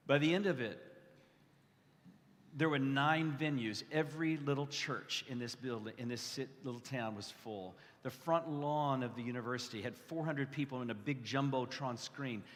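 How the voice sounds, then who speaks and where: A man preaching a testimonial sermon to a gathering, speaking earnestly and conversationally, with halting restarts and repeated phrases. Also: medium pitch, fairly bright tone. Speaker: guest preacher. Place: large indoor hall, addressing a gathering. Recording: echoey hall with long reverb.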